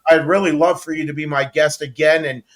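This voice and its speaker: An American man with a fairly high voice hosts a conversational interview. He speaks casually, with an effusive, appreciative manner toward the person he is talking to. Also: fairly even intonation, a little fast, a bit bright. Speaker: interview show host.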